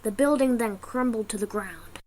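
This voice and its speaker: A high female voice tells a scripted adventure story. She is the narrator of an amateur radio drama, delivering it as dramatic storytelling. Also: normal speed, heavy background noise.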